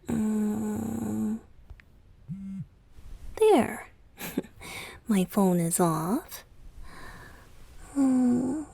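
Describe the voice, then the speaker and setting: A woman voicing a tender, playful young male pop idol boyfriend in an intimate ASMR roleplay, soft, breathy and often whispering, with a hesitant, affectionate delivery. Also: on the high side, no room sound, heavily acted. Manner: slow, hesitant, affectionate, coy.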